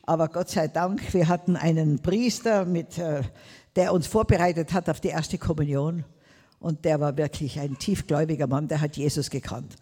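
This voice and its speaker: A woman's voice: low-pitched, fairly even intonation, normal speed, dark tone, husky, long reverb tail. An older woman from Tyrol with a Tyrolean accent, preaching a sermon emphatically, drawing out words and calling out Amen and Hallelujah. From Tyrol, Austria.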